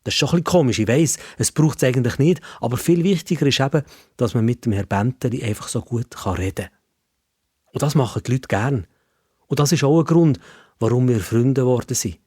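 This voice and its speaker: A man's voice: mid-range voice, fairly quick, a little mellow, slightly rough, no room sound, clean studio sound. A man with a Swiss German accent narrating a scripted story for children.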